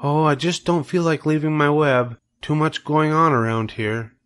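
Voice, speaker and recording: Storybook lady spider, calm and firm, lifted pitch, measured matter-of-fact delivery; a man performs her. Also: natural voice. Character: calm, sensible female spider, kindly but firm.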